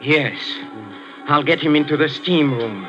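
A man playing a soft, sinister maestro. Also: a little high, natural voice. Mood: gloating. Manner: soft, insinuating, slowly building to gloating menace. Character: sinister soft-spoken male maestro plotting a murder. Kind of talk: 1940s radio acting. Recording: muffled 1940s radio recording with music.

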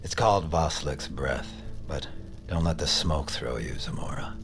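An actor playing a menacing boss of shadowy mercenary mages in an audio drama, speaking in a deliberate, scornful, formal way. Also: male voice, rumbling low, lively intonation, background noise. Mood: smooth menace. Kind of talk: audio fiction acting.

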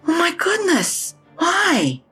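A woman's voice: extremely high pitch, wildly swooping pitch, slow, sharp tone, soft background music, heavily acted. A woman playing a blunt, sardonic older mother in an audio drama, with a frank, dry way of talking. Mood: alarmed, pleading. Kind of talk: naturalistic audio drama acting.

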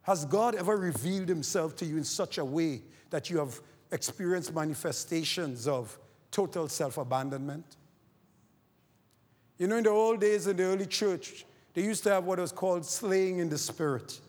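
Barbadian male preacher, very high voice, long hall reverb. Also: moderate pace. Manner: reads scripture quietly, then rises to fervent shouted preaching. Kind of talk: sermon.

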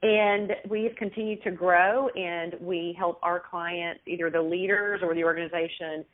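A middle-aged woman with a Texan accent, a guest author speaking in a friendly, steady way about her work. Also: fairly low pitch, moderate pace. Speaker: author and business owner, guest. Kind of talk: casual interview over the phone. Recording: tinny phone line.

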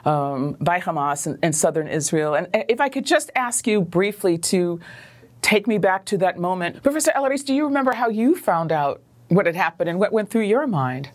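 A woman's voice: fairly low pitch, animated, moderate pace. A woman interviewer on a news program, asking thoughtful questions with some fillers and restarts.

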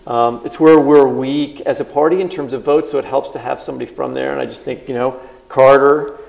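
A man's voice: medium pitch, fairly even intonation, brisk, vocal fry, darkish tone, rough recording. A man hosting a live podcast, asking thoughtful, rambling questions with many restarts. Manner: thoughtful, rambling, self-interrupting. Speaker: podcast host. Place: live event on stage.

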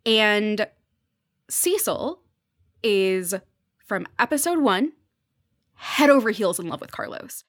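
A woman's voice: mid-range voice, big pitch swings, takes it slowly, pauses often, fairly bright tone, no room sound, studio quality. A woman guest on a podcast, explaining pop culture in an enthusiastic, conversational way.

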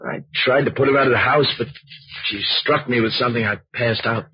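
An actor playing a distraught, pleading young husband, agitated and halting, in a 1940s radio drama. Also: male voice, mid-range voice, fairly even intonation, slightly rough, no echo. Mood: shaken. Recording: muffled old radio recording.

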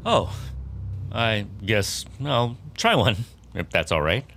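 Smug, slow-talking, low-voiced boss. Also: male voice, very animated, gravelly, fairly bright tone, a little hiss or hum, exaggerated voice. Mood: loosening up. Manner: condescending, halting. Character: smug, condescending male boss, suspicious, self-absorbed, turning giddy. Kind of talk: naturalistic audio drama acting.

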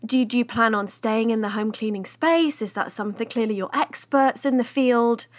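An actress playing a patient, diplomatic business consultant in a comedy podcast, politely baffled and choosing words hesitantly, in a naturalistic style. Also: female voice, on the high side, moderate pace, natural voice. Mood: probing, baffled. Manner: tactful, hesitant, careful phrasing.

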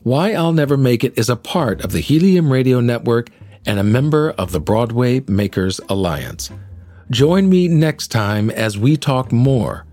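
Male podcast host, medium pitch, conversational, very dry recording. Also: expressive, measured pace, a little mellow, dry recording, faint music behind. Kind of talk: conversational interview hosting.

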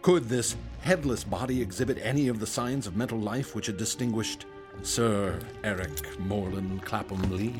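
Radio drama: male actor as a low-voiced, guilt-worn surgeon narrating, music bed. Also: moderate pace, close to an everyday voice. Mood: grim. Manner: grave, weary confessional telling. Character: haunted male doctor, narrator of his past.

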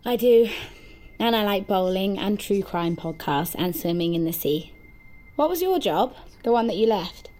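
A woman acting an earnest, naive, literal-minded companion in an audio drama, speaking in a simple, guileless, deliberate way. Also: medium pitch, animated, warm tone, close to an everyday voice. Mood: helpful, sincere. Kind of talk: naturalistic audio fiction acting.

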